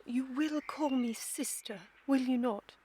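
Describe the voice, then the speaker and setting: A woman's voice: high voice, measured pace, dark tone, slight background noise. A woman playing a haughty, sophisticated young Victorian society lady in a radio comedy, with formal, theatrical diction. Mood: dignified, wounded. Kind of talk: scripted radio comedy acting.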